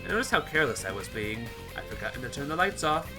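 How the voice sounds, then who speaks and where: A man's voice: mid-range voice, very animated, fast. A man hosting a game playthrough, reading visual novel narration aloud dramatically and stumbling over some words. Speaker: gaming playthrough host. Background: game music.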